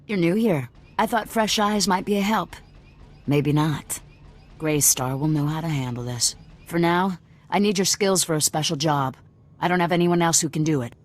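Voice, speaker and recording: An actress playing a wary, commanding female leader of a rebel cell in a video game, with a brisk, probing, businesslike manner. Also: on the low side, natural voice. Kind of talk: video game dialogue acting.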